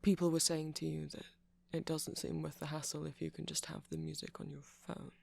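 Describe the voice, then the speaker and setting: A man playing a shy, nerdy vampire shop clerk in an ASMR roleplay, rambling gently and hesitantly to the listener. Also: a little high, monotone, brisk, creaky, dry recording. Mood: flustered, eager. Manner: hesitant, awkward, apologetic, stammering, gentle. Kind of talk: ASMR roleplay, soft casual monologue to listener.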